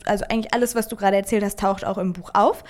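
A woman's voice: medium pitch, fairly even intonation, racing. A woman who grew up in Germany hosts a conversational interview. She asks open, thoughtful questions and keeps the talk going with short, affirming murmurs. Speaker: interviewer, host.

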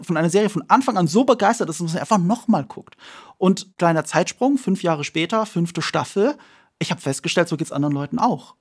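A man appearing as an expert guest, telling stories eagerly and repeating words when excited. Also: on the high side, creaky. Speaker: podcast guest, series expert. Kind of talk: enthusiastic storytelling.

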